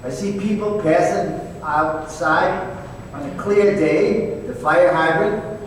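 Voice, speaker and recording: A man playing a blunt, wisecracking, tough detective's partner in a radio drama, with a halting, teasing delivery. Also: high voice. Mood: matter-of-fact. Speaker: investigator partner. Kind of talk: old time radio drama performance. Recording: very echoey hall, distant mic, faint noise.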